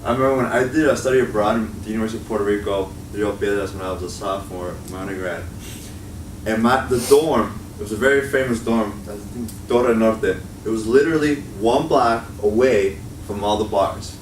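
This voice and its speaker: A young man with a Chicago accent, in a slightly low voice, talks casually to a room of students and keeps tagging his points with "right?". He is picked up from across an echoey room, and steady noise runs underneath his voice. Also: brisk. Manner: conversational, often tags points with "right?". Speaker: guest speaker. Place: meeting room at a college. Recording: echoey room from far off, noisy.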